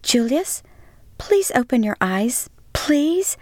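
A woman's voice: sing-song, takes it slowly, no room sound, studio quality, heavily acted. A woman voicing an anxious young wife who pleads in a raised voice. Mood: pleading. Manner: pleading, higher than her narration.

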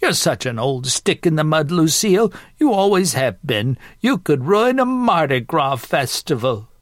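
A man's voice: on the high side, big pitch swings, unhurried, dry recording, studio quality, voice pushed up. A man voicing a sassy, elderly grandmother in a story, teasing and blunt in a folksy, gossipy way.

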